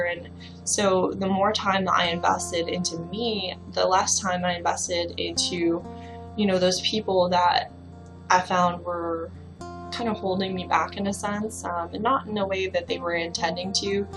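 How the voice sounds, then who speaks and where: A woman's voice: lowish voice, little pitch movement, unhurried, over music. Casual woman telling her own story. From Bahamas. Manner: casual and reflective, with many fillers. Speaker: fitness trainer. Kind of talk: personal story to camera.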